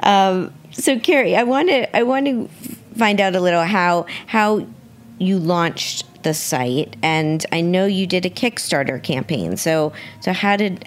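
A woman hosts a relaxed radio interview in a voice a little lower than most. She speaks with enthusiasm and often doubles back on words, as in real conversation. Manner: enthusiastic, halting with repeated words. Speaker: radio show host and interviewer.

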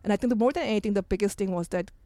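A woman in her forties talks through her cooking life in a loose, candid way, looping back with "like" and "you know". Her voice is dry and close, with no room sound. Her pitch sits in an ordinary middle range. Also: racing, warm tone, no room sound. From Korea. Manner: candid, restarts often, many "like" and "you know". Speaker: chef, interview guest. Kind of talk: casual onstage conversation.

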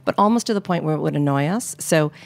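A middle-aged woman from Northern Michigan, a podcast guest telling relaxed, wry stories about growing up. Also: low voice, fast talker, creaky, dry recording. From Northern Michigan, United States. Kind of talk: conversational interview storytelling.

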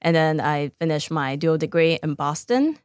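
A woman's voice: low-pitched, expressive, moderate pace, a little mellow, smooth, dry recording, clean studio sound. A woman from Taiwan with a Taiwanese accent, answering interview questions conversationally as a startup founder. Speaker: startup founder, podcast guest.

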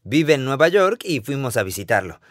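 Chatty man with lively pitch. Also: moderate pace, no room sound, clean studio sound. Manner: lively, chatty, asks questions. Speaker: podcast co-host. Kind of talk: casual conversation.

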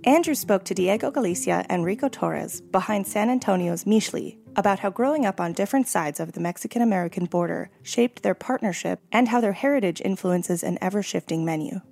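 A woman hosting a food podcast, reading scripted narration in a steady delivery. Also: mid-range voice, fairly quick, vocal fry, few pauses, soft background music. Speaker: podcast host.

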